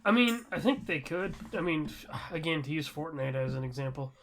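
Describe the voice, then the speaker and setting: A man's voice: on the high side, expressive, a little fast, creaky, fairly bright tone. A man co-hosting a podcast, chatting casually and informally about games with enthusiasm. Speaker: podcast co-host. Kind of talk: casual gaming chat.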